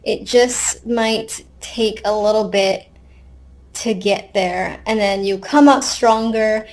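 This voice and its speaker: A woman's voice: medium pitch, slow pace. A woman giving a casual, unscripted tarot reading, thinking aloud conversationally with wry asides. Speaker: tarot card reader.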